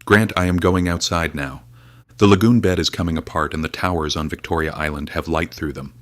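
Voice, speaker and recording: An actor playing a dignified correspondent in an audio drama, giving a measured, formal, resolute farewell report. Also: male voice, low voice, steady tone, no room sound, natural voice. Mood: solemn wonder. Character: dignified male correspondent saying final farewell at world's end.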